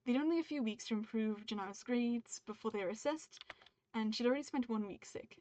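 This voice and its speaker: A woman's voice: on the high side, brisk, warm tone. A woman from the UK streaming her writing session, reading her draft aloud and stopping mid-sentence to make edits and chat with viewers. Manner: upbeat and friendly with viewers. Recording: dull, muffled stream mic.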